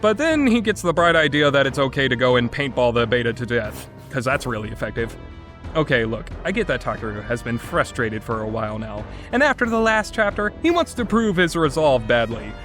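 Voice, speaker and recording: A man reviews a game with open exasperation and plenty of sarcasm. His delivery is emphatic and very animated, rising and falling widely in pitch. Faint music plays behind his voice. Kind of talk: opinionated review commentary.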